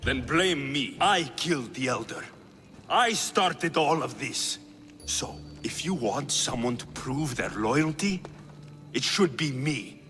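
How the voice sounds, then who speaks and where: A man's voice: medium pitch, expressive, takes it slowly, a bit bright, a little hiss or hum. A man acting a bold, confident young Spartan mercenary in a video game, blunt and wry. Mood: resolute.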